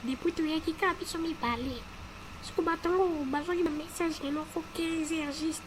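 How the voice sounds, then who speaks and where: High-pitched, impatient cartoon complainer, played by a woman. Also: fairly even intonation, moderate pace. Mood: impatient, weary. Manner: complaining, repetitive. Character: impatient, weary complainer fed up with latecomers. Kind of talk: casual cartoon dialogue in Lingala. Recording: clear background noise behind the voice.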